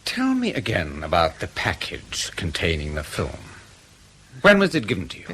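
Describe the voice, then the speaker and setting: An actor playing a male secret-service interrogator in a radio drama, mock-polite, precise and insistent, with quiet menace. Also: sits low, wildly swooping pitch, normal speed, a little hiss or hum, exaggerated voice. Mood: probing.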